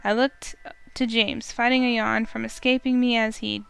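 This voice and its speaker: Woman streamer, slightly high voice, narrates a romance game aloud with feeling. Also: moderate pace, gravelly, clear, resonant tone, soft background music. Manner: expressive, first-person storytelling. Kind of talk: reading a visual novel aloud.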